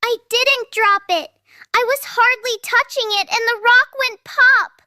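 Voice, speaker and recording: Very high, bright little girl. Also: steady tone, measured pace, dry recording, clean studio sound, heavily acted. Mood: frightened, defensive. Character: frightened young girl with a hurt finger. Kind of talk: scripted audio drama acting.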